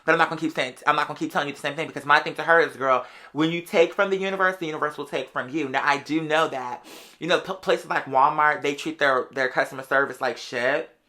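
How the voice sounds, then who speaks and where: A bright, forceful American voice of someone in their late twenties, pitched in an in-between register. The delivery is casual and gossipy, full of slang and sassy interjections, like confiding in a close friend. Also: quick pace. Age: late 20s. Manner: conversational and sassy, heavy slang, often interjecting "girl".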